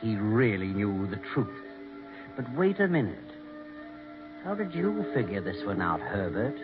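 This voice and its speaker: An actor playing an ominous, knowing storyteller who narrates a 1940s radio mystery. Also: male voice, on the low side, very animated, unhurried. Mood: sly, knowing. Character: ominous, knowing male storyteller of weird tales. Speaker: narrator host. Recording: dull 1940s radio recording with music.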